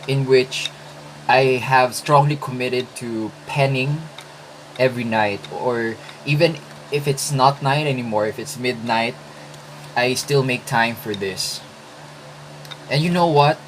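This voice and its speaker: A man in his twenties from the Philippines, whose first language is Filipino, talking through writing tips in a casual vlog with thoughtful pauses and restarts. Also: mid-range voice, fairly bright tone, slight background noise, poor audio. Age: 20s. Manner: slow, thoughtful, with hesitant restarts. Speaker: writing vlogger.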